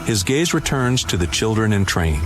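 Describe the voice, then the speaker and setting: A man's voice: on the low side, big pitch swings, fairly quick, over music. A man narrating a scripted audio drama as its storyteller.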